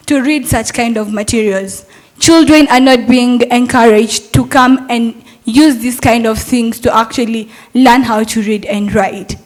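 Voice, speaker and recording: A woman's voice: fairly high pitch, a little mellow, poor audio. A young adult woman, a Montessori teacher, giving an earnest, persuasive talk at a summit and asking rhetorical questions.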